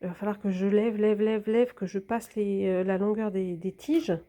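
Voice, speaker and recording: Soft-spoken woman with a cold. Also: medium pitch, fairly even intonation, moderate pace. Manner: talks while filming, drops to whispers. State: a bit congested with a cold. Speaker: plant vlogger. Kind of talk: casual hands-on narration.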